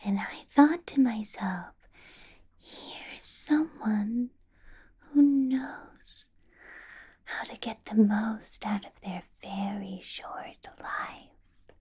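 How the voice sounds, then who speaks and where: A female vampire boss, acted by a woman in an ASMR roleplay, speaks in a breathy, husky whisper. She is very slow and deliberate, lingering over pauses and musing hums. Her tone is soft and mellow, yet possessive, condescending and coolly menacing. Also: mid-range voice, full character voice. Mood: wistful, disappointed.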